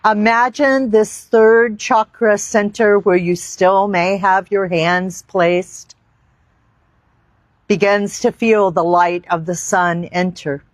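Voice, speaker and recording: Woman yoga teacher, unhurried and reverent, dry close sound, guiding breath and meditation. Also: medium pitch, steady tone, no echo. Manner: slow, deliberate, reverent phrasing with long pauses. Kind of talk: guided meditation and yoga instruction. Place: outdoor park.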